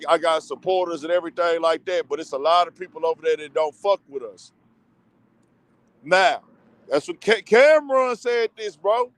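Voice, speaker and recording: A man's voice: high-pitched, no room sound. A man from the United States, hosting a casual commentary monologue and asking viewers rhetorical questions. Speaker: commentary show host.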